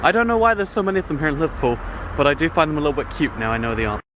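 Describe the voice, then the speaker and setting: A man's voice: a little high, sing-song, rapid-fire. A man vlogging through city streets and stations, making wry jokes to the camera on his phone. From England. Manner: wry, joking asides to the camera. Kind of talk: casual travel vlog commentary. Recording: phone recording with street noise.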